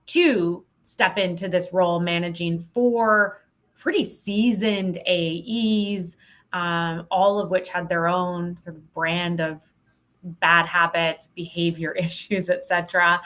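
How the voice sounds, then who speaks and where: A woman with a slightly low voice talks as an interview guest. She tells her story conversationally and frankly. Her phrasing is reflective, with "like" dropped in often. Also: steady tone, slow pace, a bit bright. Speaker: podcast guest, sales coach.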